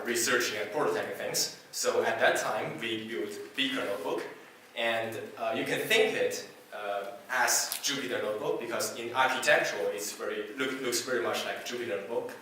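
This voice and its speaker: A man whose first language is Chinese gives a technical talk to a meetup audience, speaking haltingly with many fillers and restarts. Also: mid-range voice, fairly bright tone. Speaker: conference speaker. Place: meetup presentation room. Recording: echoey hall from far off, faint hiss.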